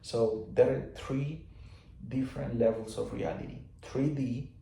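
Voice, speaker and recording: Man with a gravelly voice. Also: medium pitch, steady tone, unhurried, dark tone, echoey room, poor audio. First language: Spanish. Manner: loose and repetitive, many fillers and restarts. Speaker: teacher of spiritual laws. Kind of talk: casual explanatory talk.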